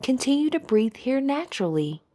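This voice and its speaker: A woman leading a scripted guided meditation in a soothing, measured manner. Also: high voice, sing-song, dark tone.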